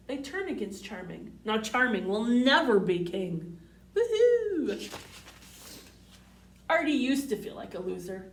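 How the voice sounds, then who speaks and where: A woman reading a storybook aloud to young children as a library storyteller, with a sing-song manner. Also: sits high. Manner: sing-song, addressing children with simple questions. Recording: roomy, distant microphone.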